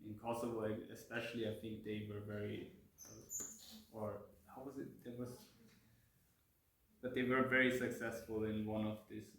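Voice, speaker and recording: A young man with a low, flat voice gives a halting presentation, full of ums. He sounds distant in an echoey room, with faint noise behind. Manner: hesitant, many fillers. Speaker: youth peace group presenter. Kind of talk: informal presentation.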